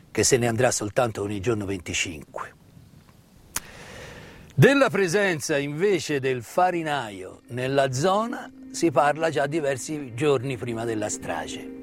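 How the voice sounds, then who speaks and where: A man tells a story of wartime history as a stage actor, with dramatic emphasis and deliberate pauses. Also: medium pitch. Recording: live music mixed under the voice. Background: live musical accompaniment.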